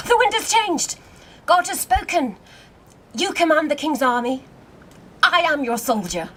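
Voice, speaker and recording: A woman in a radio play acting a wry, seasoned male French army commander, with a measured, commanding theatrical delivery. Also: sits high, expressive, fairly bright tone. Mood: rousing.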